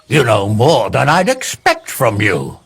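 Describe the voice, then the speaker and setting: Very gravelly, blustering medieval lord. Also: male voice, mid-range voice, huge pitch swings, a little slow, fairly bright tone, heavily acted. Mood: grudging, sceptical. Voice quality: gravelly, raspy. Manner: haughty, blunt. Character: gruff, blustering middle-aged lord, indignant and sceptical. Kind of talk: video game voice acting.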